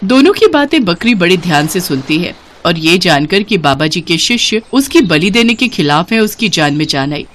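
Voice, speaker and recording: A woman's voice: lowish voice, big pitch swings, moderate pace, hardly any pauses. A woman storyteller reading scripted narration for a tale.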